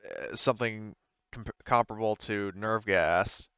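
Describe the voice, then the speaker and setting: A man's voice: fairly low pitch, a little slow, bright, forceful tone, smooth, no room sound, lo-fi sound. A man acting as game master, improvising narration casually in hesitant, explanatory phrases.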